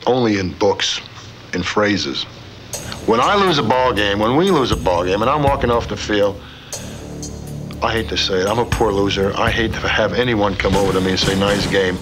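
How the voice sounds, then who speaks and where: A man's voice: fairly low pitch, animated, moderate pace. A man speaking emphatically and intensely in an interview. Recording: music behind.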